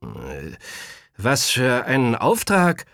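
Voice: medium pitch, wildly swooping pitch, takes it slowly, gravelly, fairly bright tone, no room sound, studio quality, full character voice. A storyteller voicing a businesslike twelve-year-old boy tailor in a children's audiobook. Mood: sceptical.

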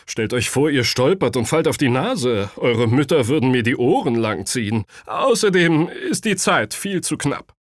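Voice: medium pitch, lively intonation, normal speed, few pauses, no room sound, natural voice. Reader doing a careful apologetic tailor dad, grown man, kids audiobook. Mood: regretful, cautioning. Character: regretful, cautious adult male tailor, a father. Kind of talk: children's audiobook character voice.

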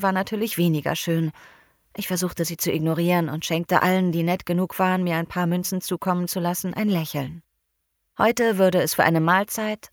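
A woman narrating a first-person novel in German, calm and measured. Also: fairly low pitch, dry recording, clean studio sound. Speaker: audiobook narrator.

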